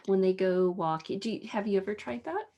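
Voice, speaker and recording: A woman with a Canadian accent hosts a relaxed interview over a video call. Her voice has a gravelly fry, and she laughs easily while restarting her sentences as she thinks. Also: medium pitch, a little fast, lo-fi sound. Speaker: interview host. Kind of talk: casual conversation.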